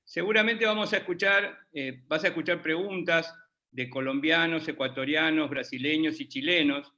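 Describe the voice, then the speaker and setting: A man moderates an online academic discussion with a bright, fairly high voice. He is animated and cuts in with quick remarks. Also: highs cut off.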